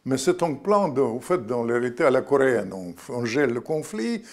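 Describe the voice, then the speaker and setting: A man's voice: mid-range voice, big pitch swings, a little fast. An older man with Russian-Ukrainian roots, a guest author speaking in an interview, rambling with many restarts and fillers.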